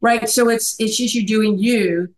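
A woman's voice: on the high side, steady tone, unhurried. A middle-aged woman who works as a life coach, talking earnestly over a video call and often checking in with "right?". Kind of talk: conversational video-call interview.

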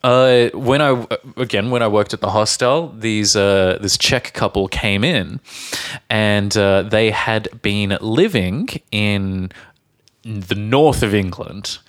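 Low-voiced man telling a rambling story. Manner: rambling, halting anecdotes with frequent restarts. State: sober. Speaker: podcast co-host. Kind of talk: casual chat, storytelling.

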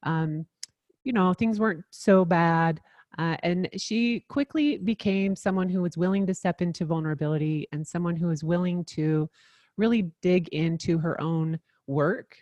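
A woman's voice: fairly low pitch, moderate pace, a little mellow, dry recording, muffled recording. A woman co-hosting a podcast, introducing her guest in an earnest way with frequent "um"s. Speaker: podcast co-host.

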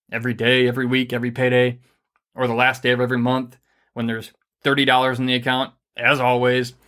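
A man co-hosting a casual faith-based money and marriage talk, energetic and rambling with many fillers. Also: mid-range voice.

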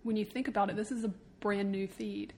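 A woman's voice: medium pitch, steady tone, a little fast, warm tone. A woman speaking as a podcast co-host in casual, unscripted conversation. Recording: clear background noise, ambience.

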